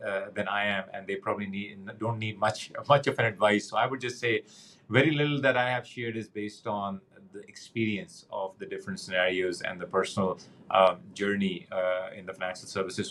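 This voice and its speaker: A man's voice: lowish voice, fairly bright tone. A middle-aged man from Pakistan, a banking executive guest speaking earnestly in an interview, with frequent fillers and restarts. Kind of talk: conversational interview.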